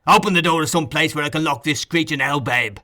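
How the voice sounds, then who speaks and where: A man's voice: a little high, lively intonation, normal speed, sharp tone, voice pushed up, full character voice. A man reading aloud, voicing a brutal, desperate male murderer who barks orders fiercely. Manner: fierce, harsh barking.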